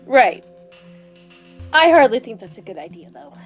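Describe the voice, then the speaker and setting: A playful, excitable woman co-hosting a let's play, reading game dialogue aloud and bantering casually. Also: fairly high pitch, normal speed, dull audio. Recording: clear music behind the voice.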